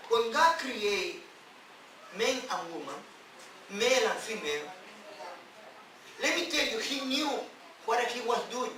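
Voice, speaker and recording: A man who is a non-native English speaker, a rabbi giving an emphatic sermon in halting phrases with repeats and rhetorical questions. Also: extremely high pitch, big pitch swings, bright, forceful tone. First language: not English. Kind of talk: sermon on scripture. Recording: distant, very echoey, noisy room recording.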